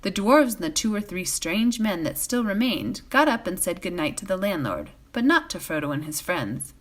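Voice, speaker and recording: Woman reading a fantasy book out loud for bedtime, animated, medium pitch, storyteller style. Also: normal speed. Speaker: volunteer story reader. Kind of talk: expressive bedtime storybook narration.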